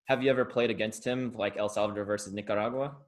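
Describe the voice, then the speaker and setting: A man's voice: lowish voice, fairly even intonation, fast. A man hosting a soccer podcast, introducing his guest and asking questions over a video call, hesitating and filling gaps with uh. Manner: hesitant, many uh fillers and restarts. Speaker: podcast host. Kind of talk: casual podcast interview.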